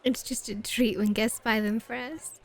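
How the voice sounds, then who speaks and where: A woman's voice: on the high side, steady tone, moderate pace, natural voice. A woman playing a flirty, teasing, self-assured club hostess in an ASMR roleplay. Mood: relaxed, gracious.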